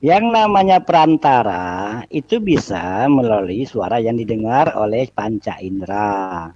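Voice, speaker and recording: Husky man defending doctrine, muffled audio. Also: fairly low pitch, lively intonation, a little slow, darkish tone, dry recording. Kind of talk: religious debate, explaining doctrine.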